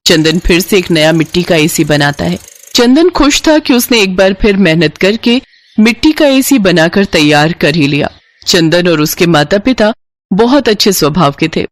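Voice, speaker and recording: A woman's voice: lowish voice, expressive, moderate pace, no echo. Woman narrator, dry studio sound. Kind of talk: scripted children's story narration.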